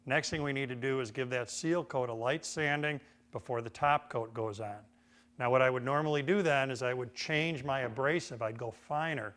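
A man's voice: mid-range voice, normal speed, fairly bright tone. A middle-aged man with an American accent giving an informal, folksy hands-on instructional demonstration as a woodworking teacher. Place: indoor woodworking shop.

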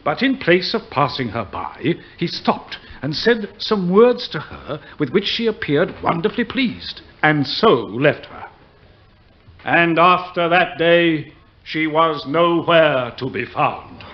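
High-pitched, earnest prosecutor. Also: male voice, very animated. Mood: grave, narrating. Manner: formal, measured storytelling. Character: earnest, formal prosecuting attorney in old English court. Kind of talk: period radio play acting. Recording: muffled radio drama recording.

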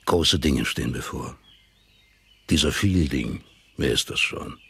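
Scheming old father, low-pitched, heavily rasping, slow, commanding, radio play. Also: heavily acted. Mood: menacing, persuasive. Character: scheming, powerful old father, a business magnate. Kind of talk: German radio drama acting.

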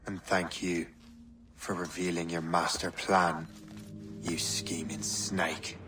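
An actor playing a cool, sardonic young assassin in a video game. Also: male voice, sits low, flat delivery, slow, sharp tone, soft background music, heavily acted. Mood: mocking, cool. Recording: noisy game audio with ambience.

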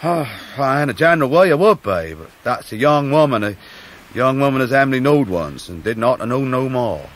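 A man's voice: mid-range voice, sing-song, lightly acted. Man playing humble fisherman. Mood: awkward. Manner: halting, deferential, earnest. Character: humble, tender-hearted working-class young fisherman. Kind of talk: 1990s radio drama acting.

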